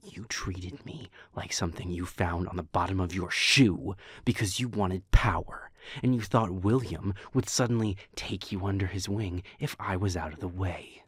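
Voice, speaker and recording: A man playing a resentful, hot-tempered vampire with a husky voice in a scripted audio drama. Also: low voice, moderate pace, dry recording, clean studio sound. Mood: accusing. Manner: combative, foul-mouthed. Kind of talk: scripted audio roleplay acting.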